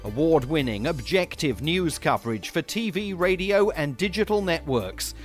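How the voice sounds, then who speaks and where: A male announcer reading a radio ad in a punchy promo style. His voice sits fairly high and moves around a lot, with faint music underneath. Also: animated, moderate pace. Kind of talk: scripted radio ad read.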